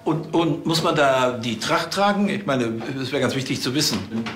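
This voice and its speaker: An actor playing an officious, nosy police officer in a TV crime comedy, speaking formally. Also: male voice, medium pitch, lively intonation, normal speed, fairly bright tone, slight background noise.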